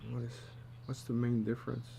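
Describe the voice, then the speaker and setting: A man giving a casual drawing tutorial, thinking aloud in a halting, muttering way as he works. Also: medium pitch, little pitch movement, vocal fry, warm tone, slight background noise. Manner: halting, muttering to himself while working. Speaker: storyboard artist, tutor.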